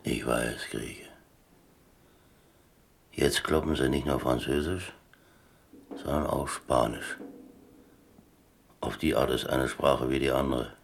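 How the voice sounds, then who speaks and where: An actor playing a worldly, plain-spoken prison inmate in a radio drama, explaining things in a colloquial, laconic, matter-of-fact way. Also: male voice, very deep voice, fairly even intonation, slow pace, gravelly, long pauses, exaggerated voice. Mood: wry, philosophical.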